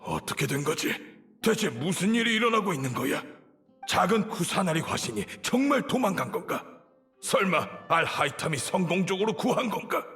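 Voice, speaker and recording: Imperious grand sage, sharp and animated. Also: male voice, high-pitched, moderate pace, soft background music. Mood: agitated, disbelieving. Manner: haughty, commanding. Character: imperious, suspicious male grand sage of an academy, older. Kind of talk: dramatic Korean game voice acting.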